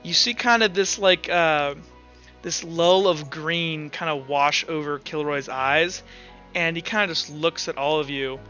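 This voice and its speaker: A man narrating a tabletop roleplaying game as game master in a halting, informal, improvised way. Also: a little high, bright, forceful tone. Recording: dull lo-fi audio, music underneath. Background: background music.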